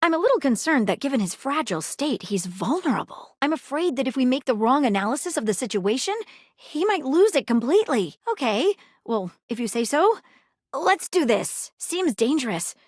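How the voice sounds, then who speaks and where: A woman's voice: high voice, very animated, moderate pace, no room sound, clean studio sound. A woman playing an earnest, inquisitive detective in a video game, with theatrical, articulate and courteous delivery. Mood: anxious. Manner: theatrical, articulate, formal phrasing. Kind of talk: acted video game dialogue.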